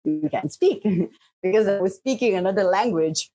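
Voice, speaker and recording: A middle-aged woman from Slovenia whose first language is Bosnian, telling her story as a podcast guest in a lively, rambling way, full of fillers and laughs. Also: medium pitch, moderate pace. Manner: lively, frequent "like" and "you know" fillers, laughs often. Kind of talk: casual interview talk.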